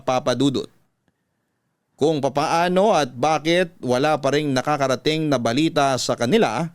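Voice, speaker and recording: A man with a Filipino accent narrating a sentimental confessional story in a measured, classic radio drama style. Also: fairly high pitch, clear, resonant tone, no room sound. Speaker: radio-style storyteller host reading a letter.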